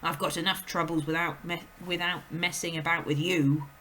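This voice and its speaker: A woman's voice: fairly low pitch, flat delivery, moderate pace, sharp tone, slight background noise, low-quality recording. A woman voicing a bossy, impatient middle-aged father in a storybook read aloud, barking curt orders. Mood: gruff, snapping. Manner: curt, snapping, barked orders.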